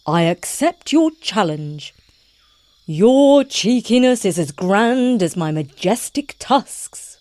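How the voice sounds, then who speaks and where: A woman voicing a proud, boastful giant male elephant in a children's story, booming and pompous. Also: lively intonation, slow pace, dark tone, dry recording. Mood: smug, booming.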